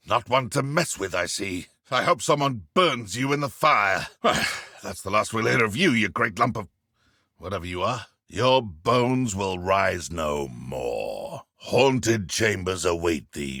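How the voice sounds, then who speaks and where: An actor playing a sneering, menacing British poacher and dark wizard in a video game, taunting with mock politeness and contempt. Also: male voice, sits low, no echo, studio quality, full character voice. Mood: grim contempt. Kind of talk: video game villain combat barks.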